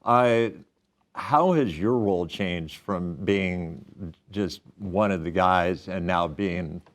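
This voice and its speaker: A man's voice: sits low, slow pace. A man hosting a studio sports interview show, chatty and self-deprecating, often restarting his questions.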